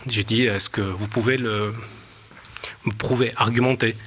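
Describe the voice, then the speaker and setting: A man, an actor whose first language is Arabic, calmly and earnestly recounting a debate with a student. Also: lowish voice, measured pace, slight background noise. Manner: calm, earnest, hesitant fillers. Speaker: stage actor. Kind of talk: interview anecdote.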